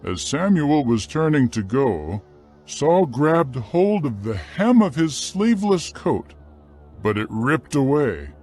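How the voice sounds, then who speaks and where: Slow, solemn male scripture narrator. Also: fairly high pitch, big pitch swings, darkish tone, soft background music. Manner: solemn, measured.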